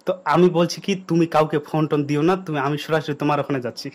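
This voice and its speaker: A man's voice: fairly high pitch, little pitch movement, racing. A young man talking casually and insistently, as a prankster on a phone call. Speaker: prank caller and video host.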